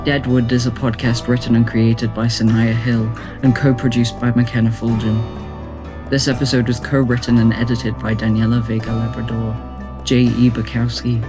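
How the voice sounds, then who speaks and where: Even-toned man reading credits over guitar. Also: mid-range voice. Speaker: credits announcer. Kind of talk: scripted podcast credits read. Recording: guitar music behind the voice.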